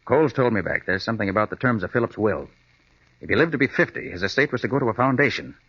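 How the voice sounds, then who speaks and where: Brisk American male sleuth, vintage radio drama: forceful, lively delivery, muffled old recording. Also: on the low side, exaggerated voice. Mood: analytical. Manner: crisp, authoritative. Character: confident, brisk male private detective. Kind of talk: 1940s radio detective acting.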